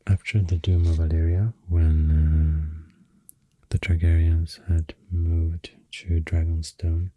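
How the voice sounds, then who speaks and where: A man with a Swedish accent speaking in a soft, breathy near-whisper, rambling in an unhurried, hesitant ASMR explanation. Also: a bass voice, level tone, no echo. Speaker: ASMR creator. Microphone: close-up ASMR microphone.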